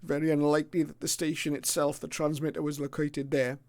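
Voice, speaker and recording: Middle-aged or older man, slightly higher voice, casual presenter, unscripted, halting delivery. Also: level tone, darkish tone. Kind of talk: casual illustrated hobby talk.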